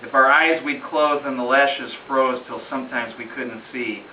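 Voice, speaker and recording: A man's voice: mid-range voice, fairly even intonation, moderate pace. Man reciting a ballad, roomy and distant. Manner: storytelling, rhythmic, emphatic phrasing. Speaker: amateur poem reader. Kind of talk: dramatic recitation of a narrative ballad. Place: room in a home, at a gathering. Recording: roomy distant muffled home recording, faint noise.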